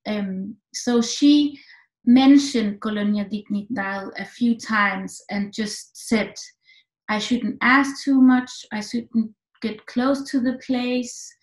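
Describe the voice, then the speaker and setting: A woman's voice: medium pitch, slow, reverberant, rough recording. A middle-aged woman from Denmark, a film director answering questions on a video call, thoughtful and full of restarts and fillers. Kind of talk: online film talk answers. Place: home room with echo. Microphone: computer mic on a video call.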